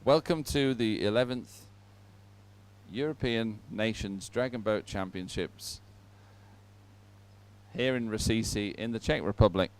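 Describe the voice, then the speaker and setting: Clear-voiced man presenting live sport. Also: lowish voice, a little slow, pauses often. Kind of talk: unscripted live event presenting.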